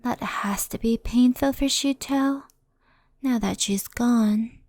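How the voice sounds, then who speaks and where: A woman playing a gentle, anxious, centuries-old fox spirit in an ASMR roleplay, speaking softly and often whispering close to the microphone. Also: fairly high pitch, no echo. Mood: pensive. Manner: soft, breathy, often whispered, unhurried.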